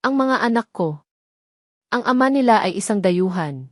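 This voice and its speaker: A woman's voice: mid-range voice, expressive, normal speed, dry recording, close to an everyday voice. A guarded, defiant mother speaks coldly and firmly in a dramatic audio story, acted out by a woman narrator. The recording is dry and free of room sound. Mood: hard, insistent. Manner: cold, hard, firm. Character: guarded, cold, defiant mother hiding a secret.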